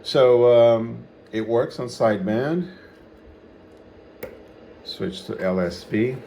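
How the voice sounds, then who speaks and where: A man giving an unscripted hands-on demonstration as a radio hobbyist, speaking in a halting, matter-of-fact way. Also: mid-range voice, warm tone, a little hiss or hum.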